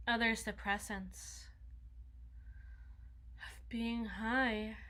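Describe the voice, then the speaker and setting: Woman voicing young female vampire, ASMR roleplay, flustered, needy, fairly high voice, unhurried, whispery asides. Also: flat delivery, pushed and bright, full character voice. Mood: musing, coaxing. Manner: stammering, breathy asides, dropping into whispers.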